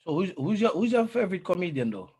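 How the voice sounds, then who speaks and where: A man's voice: high-pitched, big pitch swings, fast talker, a little mellow, breathy edge. A man co-hosting an interview, asking easygoing, teasing questions. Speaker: co-host. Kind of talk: interview chat.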